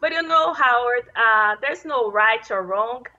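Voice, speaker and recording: Bright-voiced Brazilian woman, lo-fi. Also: fairly high pitch, lively intonation, a little slow. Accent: Brazilian Portuguese. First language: Portuguese. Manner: earnest, slightly non-native phrasing, laughs easily. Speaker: guest, dental hygienist and consultant. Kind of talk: interview conversation.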